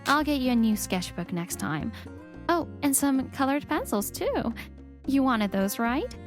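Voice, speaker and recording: A woman voicing an earnest, expressive young mother and wife in a narrated manga dub. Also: high voice, unhurried, faint music behind. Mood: gentle, reassuring. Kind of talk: scripted story narration, manga dub acting.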